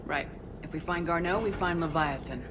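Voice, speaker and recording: A woman's voice: fairly low pitch, normal speed, background noise, lightly acted. A woman playing an assertive, businesslike military commander in a scripted video game. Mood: determined. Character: no-nonsense female military commander. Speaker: game protagonist. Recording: loud music bed. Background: loud game music.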